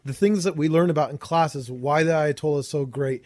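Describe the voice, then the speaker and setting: A man's voice: on the high side, fast, no echo. A young man telling stories in a casual conversation, acting out quoted exchanges and swearing casually. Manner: animated retelling with acted-out quotes and casual swearing.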